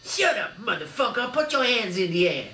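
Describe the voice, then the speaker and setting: A man voicing a violent, foul-mouthed American gangster avocado who yells threats. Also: high voice, sing-song, moderate pace, far from the mic, voice pushed up, heavily acted. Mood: menacing shout. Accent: put-on American. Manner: loud yelling threats. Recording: echoey room, faint mains hum.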